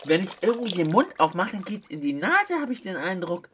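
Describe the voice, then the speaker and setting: A man's voice: high-pitched, big pitch swings, a little fast, a bit bright, slight background noise. A man co-hosting a children's science show in casual banter, with hesitant, muttered asides. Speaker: co-host and test subject on children's science show.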